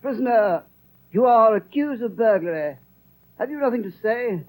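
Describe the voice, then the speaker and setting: An actor playing a haughty, pitiless judge in a vintage radio drama, pompous and declamatory. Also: male voice, sits high, expressive, normal speed, low-quality recording. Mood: cold, contemptuous. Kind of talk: 1930s radio drama acting. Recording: vintage 1930s radio broadcast.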